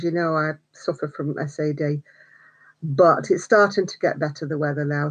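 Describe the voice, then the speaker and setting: An older woman hosting a casual live stream, chatty and greeting viewers by name between little laughs. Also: low voice. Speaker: crochet vlogger. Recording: slightly dull home stream audio.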